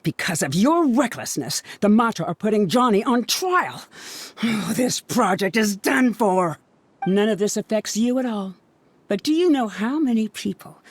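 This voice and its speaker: A woman's voice: medium pitch, expressive, measured pace. A woman voicing an angry, bitter young female student in a dramatic video game scene, scolding and accusing. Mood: bitter, reproachful.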